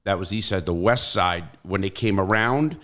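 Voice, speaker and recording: A man hosting a podcast, asking casual questions in a halting, restarting way. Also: low voice, a bit bright. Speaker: podcast host.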